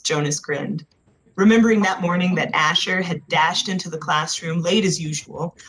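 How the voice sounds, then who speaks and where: A woman reading a novel aloud as expressive scripted narration. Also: fairly low pitch, slight background noise, low-quality recording. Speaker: audiobook narrator.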